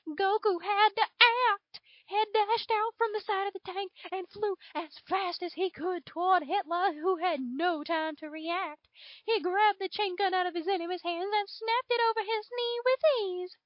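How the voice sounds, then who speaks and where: Southern belle dragon played by a woman, extremely high voice, theatrical storybook narrator, dull lo-fi sound. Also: no room sound. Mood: dramatic storytelling. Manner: theatrical, drawn-out emphasis. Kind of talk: dramatic fan fiction reading. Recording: dull lo-fi home recording.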